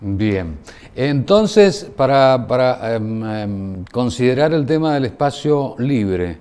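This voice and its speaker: A man's voice: medium pitch, sing-song, takes it slowly, dark tone, clear, resonant tone. A male TV host, asking a question hesitantly with many fillers. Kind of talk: interview questions.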